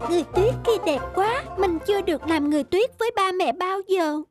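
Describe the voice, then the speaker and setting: A woman's voice: extremely high pitch, lively intonation, brisk, music in the background. A woman voicing a lonely, wistful little girl with childlike pleading in a cartoon fairy tale. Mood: eager, pleading.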